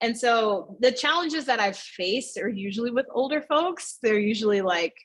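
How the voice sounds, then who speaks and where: A woman's voice: on the high side, lively intonation, moderate pace. A woman in her thirties, a fitness studio owner, chatting casually as an interview guest and acting out voices when she quotes people. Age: 30s.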